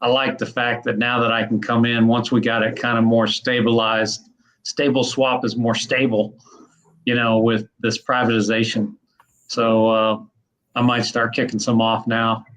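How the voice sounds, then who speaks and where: A man's voice: medium pitch, little pitch movement, moderate pace, fairly bright tone, poor audio. A man in his mid-fifties co-hosting a casual chat, easygoing and emphatic. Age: 50s.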